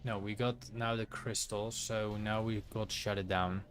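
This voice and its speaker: A Dutch man with a low-pitched voice streams his gameplay. He comments casually in Dutch-accented English as he goes, with faint game noise behind him. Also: little pitch movement, a little slow, a bit bright. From Netherlands.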